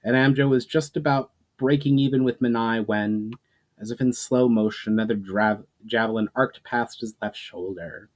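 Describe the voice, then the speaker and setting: A man's voice: mid-range voice, steady tone, normal speed. A man reading an adventure story aloud in a dramatic narration style, starting phrases emphatically and letting them trail off.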